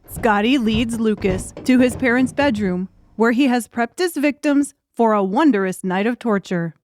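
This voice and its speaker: Higher-pitched woman narrating an audiobook. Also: darkish tone, slight background noise. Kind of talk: scripted narration.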